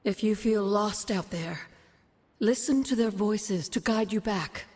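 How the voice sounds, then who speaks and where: A slow, echoing, cryptic witch mentor. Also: mid-range voice, darkish tone, husky. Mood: solemn, tender. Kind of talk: video game voice acting. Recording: long echoing reverb.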